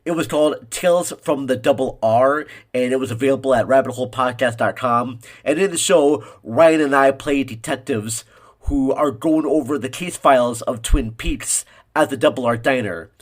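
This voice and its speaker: Male podcast host, average pitch, casual conversational introductions. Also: normal speed.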